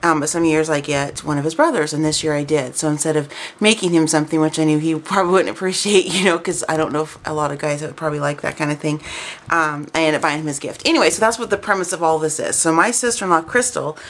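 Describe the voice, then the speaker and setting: A woman in her thirties or forties, hoarse and stuffy with a cold, chatting casually and rambling about crafts at home. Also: sits low, fairly even intonation, quick pace. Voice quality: raspy. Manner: chatty, rambling, lots of "um" fillers. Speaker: crafting vlogger. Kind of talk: casual chat to camera.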